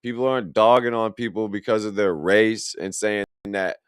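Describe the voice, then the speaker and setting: A man's voice: lowish voice, normal speed, smooth, dry recording, studio quality. A young man, reacting to a video in blunt, profane, rambling casual commentary. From Illinois, USA. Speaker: reaction streamer.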